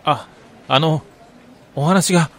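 An actor in a radio drama playing an earnest young brother-in-law who speaks politely and haltingly. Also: male voice, fairly high pitch, no room sound. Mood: hesitant.

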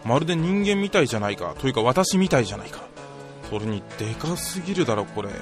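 A man's voice: mid-range voice, very animated, fast talker, over music, close to an everyday voice. A man playing a skeptical, bossy lab chief with a casual, bemused manner in a comedic radio drama. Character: gruff, skeptical male lab chief, easily impressed.

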